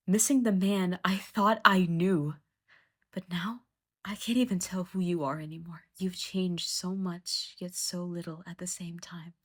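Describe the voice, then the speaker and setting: A woman voicing a fiercely caring, angry female friend in an audio drama. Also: on the low side.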